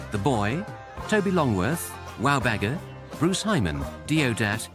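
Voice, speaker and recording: A man with a British accent reading scripted radio credits as an announcer. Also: medium pitch. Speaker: continuity announcer. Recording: clear music under the voice.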